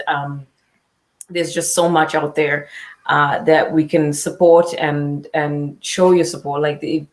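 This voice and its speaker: A woman from Zimbabwe, a small business owner speaking in a casual panel chat, chatty and earnest, on a video call. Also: sits low. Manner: chatty, earnest, laughs easily.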